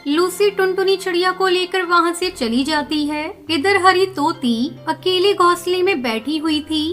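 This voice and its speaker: Female narrator for children's moral tales, extremely high voice with soft music behind. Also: a little slow. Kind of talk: scripted children's story narration.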